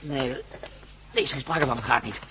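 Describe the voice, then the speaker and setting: A man's voice: medium pitch, a little slow, background noise, close to an everyday voice. An actor playing a hesitant, stammering male friend in an old radio play. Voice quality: stammering, nervous giggling.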